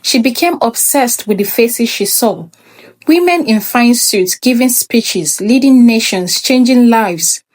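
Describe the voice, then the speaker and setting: Expressive woman storyteller with measured delivery. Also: fairly high pitch. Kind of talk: scripted folktale narration.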